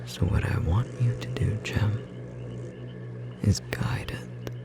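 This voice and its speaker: A performer voicing a tender magical bookstore clerk in an ASMR roleplay, whispering softly and gently encouraging a beloved partner, with a slightly rough edge. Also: mid-range voice, slow pace, over music. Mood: gentle, guiding. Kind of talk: ASMR roleplay, intimate whispered second-person acting.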